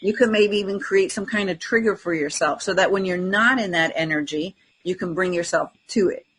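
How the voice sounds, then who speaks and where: Husky-voiced woman explaining casually. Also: on the low side, brisk, fairly bright tone. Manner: encouraging, often seeks agreement with tag questions. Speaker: podcast co-host, spiritual energy coach.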